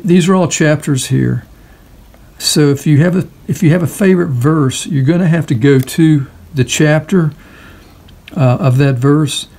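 A man's voice: on the high side, dark tone. A man giving a casual hands-on product demonstration in a hesitant, unscripted way. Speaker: product reviewer.

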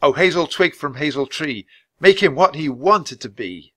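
A man's voice: a little high, big pitch swings, moderate pace, sharp tone, no echo, pitched above the performer's own voice, full character voice. A man voicing an earnest, insistent young boy, the anxious but brave hero of a storybook. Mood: commanding.

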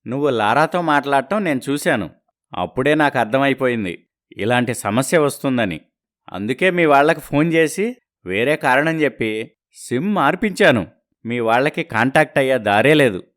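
A man's voice: medium pitch, expressive, moderate pace, a bit bright, natural voice. A man playing a scheming, deceitful young husband in a dramatised story, gloating and calculating. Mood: smug, gloating.

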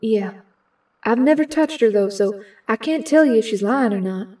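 A sympathetic, straight-talking young heroine, played by a woman in an audio drama. Her voice is fairly high yet mellow, heard a little off the mic. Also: animated, moderate pace, recorded from a distance, close to an everyday voice. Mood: sympathetic, reluctant. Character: frank, sympathetic young female mutant hero.

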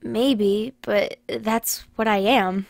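A woman's voice: mid-range voice, steady tone, extremely slow, vocal fry, no echo, studio quality. A woman playing a blunt but caring grandmother in recovery, speaking earnestly in an audio drama. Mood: curious. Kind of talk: podcast audio drama acting.

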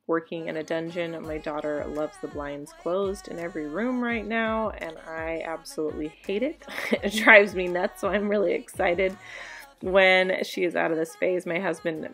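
A woman's voice: fairly low pitch, steady tone, moderate pace. A woman in her thirties chatting casually in a voice-over for her home cleaning vlog, confiding and laughing now and then. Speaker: cleaning vlogger. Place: home bedroom. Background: soft background music.

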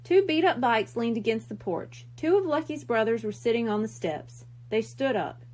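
A woman reading a children's book aloud in an expressive storytelling style. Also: a little high, normal speed.

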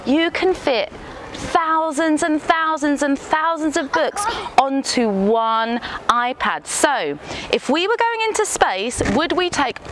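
A woman in her thirties speaking as a teacher or librarian, with an expressive, deliberate and encouraging storytelling style for young children. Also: sits high, slight background noise, rough recording.

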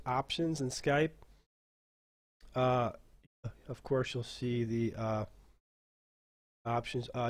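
A man teaching a casual software class as a dungeon master, rambling and thinking aloud in a halting way. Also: medium pitch. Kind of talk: casual screen-share tutorial, off the cuff.

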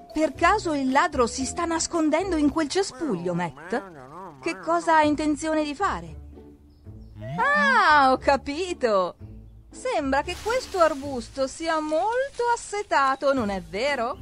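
A woman narrating a children's cartoon in an expressive, exclamatory way, talking directly to the characters as if to small children. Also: high-pitched. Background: background music.

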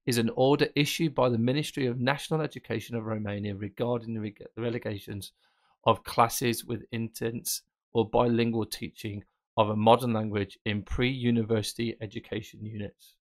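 A man presenting a screen-recorded software tutorial, explaining casually and thinking aloud with fillers. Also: medium pitch, fairly even intonation, moderate pace. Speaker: tech presenter.

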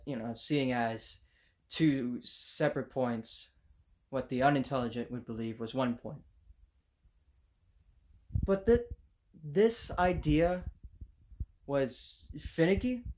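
An earnest, idealistic male student acted out by a man speaks in a dramatic monologue. He is slow and hesitant, often searching for his words, with a mid-range voice. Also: mid-range voice, a little mellow. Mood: shy, reflective. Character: earnest, idealistic, tongue-tied student recalling his teacher.

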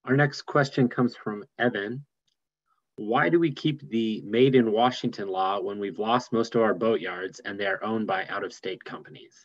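A man's voice: fairly low pitch, normal speed, no echo. A man moderating an online meeting, reading out submitted questions over a video call. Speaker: moderator, staff. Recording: slightly dull video-call audio.

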